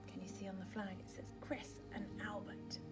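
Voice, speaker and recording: A woman reading a picture book aloud for young children, dramatic and expressive, often dropping into a hushed, breathy tone. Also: mid-range voice, moderate pace, over loud music.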